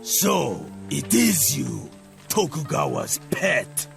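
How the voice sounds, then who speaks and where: A man's voice: a little high, wildly swooping pitch, takes it slowly, gravelly, soft background music, heavily acted. A man playing a defiant samurai warrior in a game cutscene, speaking bluntly and intensely. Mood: contemptuous. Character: defiant samurai soldier or rival general. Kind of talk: dramatic game cutscene acting.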